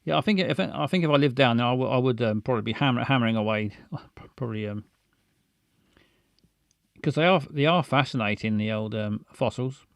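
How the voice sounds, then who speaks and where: A man's voice: mid-range voice, a little fast, vocal fry, no echo, studio quality. A man, casually chatting with stream viewers in a rambling, stammering, self-mocking way. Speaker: livestream host.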